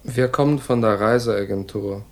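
An actor playing a menacing enforcer, courteous and reproachful with a quiet threat, in a 1960s radio crime drama. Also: male voice, fairly low pitch, moderate pace, lightly acted. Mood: polite menace. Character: menacing male enforcer, falsely polite and reproachful.

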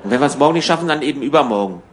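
A man's voice: medium pitch, lively intonation, rapid-fire, lightly acted. A man playing a restless, irritable emigrant in a hotel room, in conversational radio play dialogue. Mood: irritated. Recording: clear background ambience noise.

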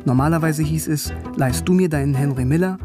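An actor playing a bookish young East German philosophy student in a radio drama, narrating in a wry, literate way. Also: male voice, on the high side, fairly quick, over music, lightly acted. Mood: wry, reflective. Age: young adult. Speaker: narrator and lead.

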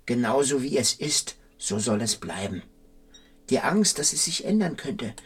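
A man's voice: medium pitch, lightly acted. An actor playing a tall, idle, teasing man who muses theatrically in a German literary radio drama. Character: tall, idle, teasing man philosophizing while lying sprawled.

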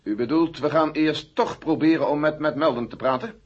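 A man in a radio play acting a husky-voiced, sceptical, sardonic army general. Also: fairly high pitch, fairly quick, natural voice. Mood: brisk, familiar. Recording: dull old radio play recording.

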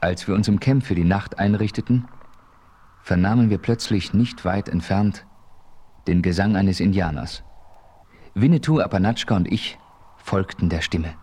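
A man narrating a radio play with steady storytelling. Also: deep voice, moderate pace, long pauses, slightly rough.

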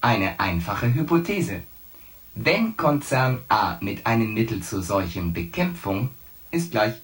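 Slow, stilted computer intelligence. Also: male voice, fairly low pitch. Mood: clinical, matter-of-fact. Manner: stilted, formulaic, emotionless phrasing. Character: pedantic, logical male shipboard AI computer. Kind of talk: science fiction radio play acting.

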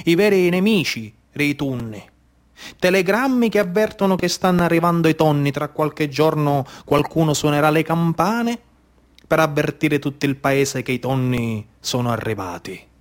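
A man with a Sicilian accent performing a dramatic narrative monologue as a stage storyteller. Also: fairly high pitch.

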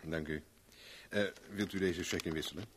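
An actor playing a smooth, affable private investigator with a casual, politely probing manner in a 1970s Dutch radio play. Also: male voice, deep voice, fairly even intonation, normal speed, slight background noise, lightly acted.

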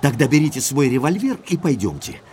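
An actor playing a forthright, impulsive gentleman baronet who talks commandingly in an audio drama. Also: mid-range voice, big pitch swings, fairly quick, slight background noise, natural voice. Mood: resolute. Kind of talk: Russian audio drama acting.

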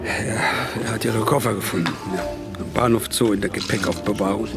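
Gruff man over loud music. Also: fairly low pitch, a little fast. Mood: troubled. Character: gruff, irritable man named Manfred. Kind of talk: German period crime radio drama acting.

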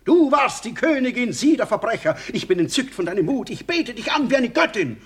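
High, husky, overwrought stage lover. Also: male voice, big pitch swings, fairly quick, a bit bright, exaggerated voice. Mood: rapturous. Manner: overwrought, theatrical declamation. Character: male comedian declaiming an impassioned tragic stage lover. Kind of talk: comic German radio play acting.

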